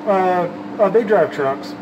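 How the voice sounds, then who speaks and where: A man's voice: high voice, slow pace. A man chatting casually in folksy CB radio slang, as an operator showing his setup. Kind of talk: casual radio chat and commentary. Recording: loud vehicle and fan noise.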